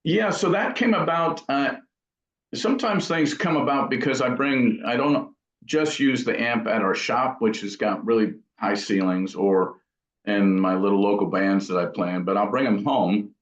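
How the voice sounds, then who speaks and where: A man with a fairly low voice talks as an interview guest. His explanations are casual and wander a bit. The sound is dull and carries noticeable room echo. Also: normal speed. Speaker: guitar amp builder and guest. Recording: dull, roomy, echoing audio.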